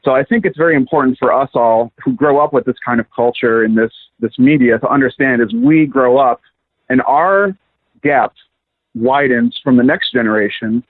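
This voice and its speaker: A man in his thirties calling in to a show, making an earnest, argumentative case. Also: mid-range voice. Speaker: caller. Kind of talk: call-in commentary. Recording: tinny phone line.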